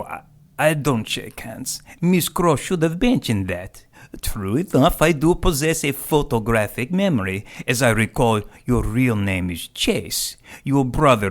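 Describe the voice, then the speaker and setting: Radio drama role: fastidious, formal aging intellectual inventor, very lively pitch movement. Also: male voice.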